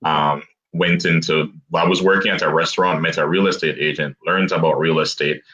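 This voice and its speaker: A young man guest telling his story in a relaxed interview, with halting restarts and frequent laughter. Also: a bass voice. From abroad, grew up outside the US. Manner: laughs often, self-deprecating, halting restarts. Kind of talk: casual interview chat. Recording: dull lo-fi online call audio.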